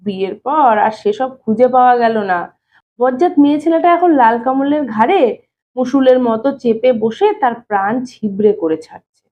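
A shy, stubborn young bachelor read by a woman in an audio story. He speaks plainly and evasively in a high voice. The recording is narrow and phone-like. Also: animated, moderate pace, lightly acted. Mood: wary. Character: shy, stubborn young bachelor brother-in-law, reluctant to marry. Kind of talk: dramatized audiobook reading. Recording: thin phone-like narrow-band sound.

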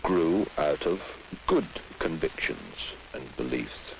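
A man interpreting into English in a measured, halting way, recorded in an old broadcast. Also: a bass voice, lively intonation, gravelly, rough recording. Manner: measured, halting, restarts phrases. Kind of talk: live interpreting. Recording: old broadcast with faint hiss.

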